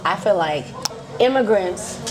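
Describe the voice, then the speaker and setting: An American woman hosting a casual chat, chatty and quick to affirm what others say. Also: mid-range voice, expressive, noisy background. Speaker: host. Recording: crowd chatter behind.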